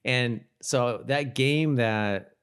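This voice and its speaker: A man's voice: medium pitch, extremely slow, a bit bright, clear, resonant tone. A man co-hosting a podcast in casual TV recap chat, talking in a rambling, self-correcting way. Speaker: podcast co-host.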